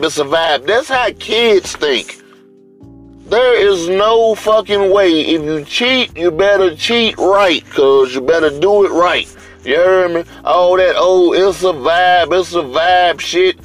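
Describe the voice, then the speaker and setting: Slow-talking Southern US man, blunt and slangy. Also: high voice. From US South, Atlanta area. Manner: blunt, slangy, heavy swearing. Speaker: podcast host. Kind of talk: casual unscripted monologue.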